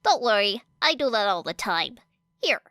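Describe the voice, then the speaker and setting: A calm, kind teenage girl speaking gently and frankly, her voice moving expressively, put on by a woman reading aloud. The recording is close and dry. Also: medium pitch, normal speed, no room sound. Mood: gentle. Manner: gentle, matter-of-fact.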